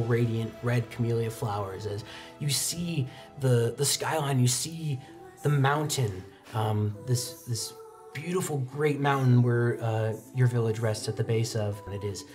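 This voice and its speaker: Man narrating a game over music. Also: mid-range voice. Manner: descriptive, halting as he searches for words. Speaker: game master. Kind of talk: improvised tabletop roleplay narration. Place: indoor studio set, seated at a desk.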